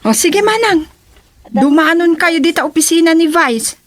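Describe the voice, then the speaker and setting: A woman's voice: very high-pitched, animated, normal speed, no room sound. An actress playing a chatty small-town woman in a radio comedy, speaking. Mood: breezy. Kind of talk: Ilocano comedy radio drama acting.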